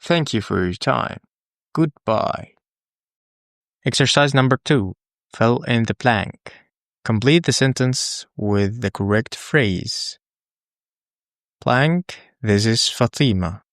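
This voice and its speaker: A man who is a native Arabic speaker, teaching English in a scripted, deliberate, instructional lesson style. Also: mid-range voice, animated, fairly bright tone, no room sound, studio quality. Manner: deliberate, instructional, with pauses for the learner. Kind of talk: scripted language lesson, repeat-after-me drills.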